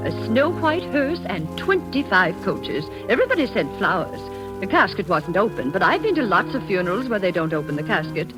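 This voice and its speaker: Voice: mid-range voice, natural voice, rough recording. A performer playing a chatty, proud married woman, a small-town gossip gushing over details in 1940s radio style. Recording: vintage radio broadcast with music behind.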